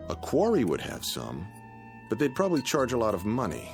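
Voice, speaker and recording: An actor playing a mild, penny-pinching husband in a radio drama, genteel, fussy and wordy, often musing to himself. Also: male voice, mid-range voice, huge pitch swings, brisk, creaky, music in the background. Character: mild, penny-pinching husband, eager about free bargains.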